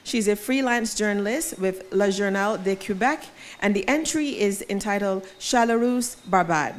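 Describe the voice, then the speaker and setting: A woman's voice: mid-range voice, moderate pace, a little hiss or hum. A woman hosting an awards ceremony, announcing categories and nominees in a polished emcee manner with light self-deprecating asides. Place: event venue.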